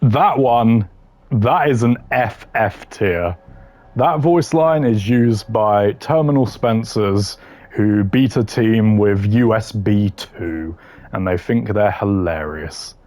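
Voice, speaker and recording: A man's voice: on the low side, slow pace, darkish tone, dry recording. A man giving casual, opinionated gaming commentary in a blunt, slangy way, ranking voice lines. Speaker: gamer ranking game voice lines.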